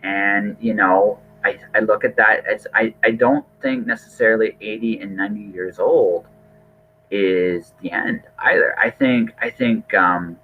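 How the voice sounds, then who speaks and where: Low-voiced man rambling on a phone-like line. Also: steady tone, measured pace, soft background music. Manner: rambling, repeats words, earnest. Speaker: podcast host. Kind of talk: casual conversational interview.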